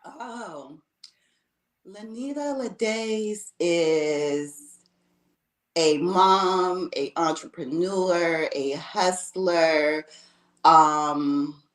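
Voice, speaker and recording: A woman's voice: lowish voice, drawn-out words, echoey room. A woman from Washington, D.C., a makeup artist being interviewed, talking casually and laughing easily. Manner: casual, laughs easily, calls host girl.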